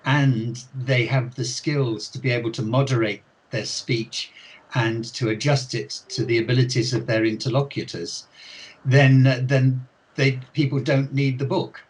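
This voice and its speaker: Slow, husky older man musing aloud. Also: medium pitch, level tone, poor audio. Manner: thoughtful, many restarts and hesitations. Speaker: author and ELT expert guest. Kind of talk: unscripted interview talk.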